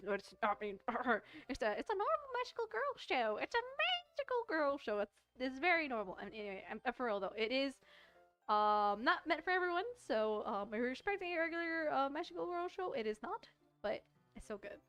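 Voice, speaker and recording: A woman with a fairly high voice plays and reads a visual novel for viewers. She is playful and rambles casually, with music behind her. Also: lively intonation, fairly quick, fairly bright tone, smooth. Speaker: streamer playing and reading a visual novel. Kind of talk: casual let's-play chat and read-aloud.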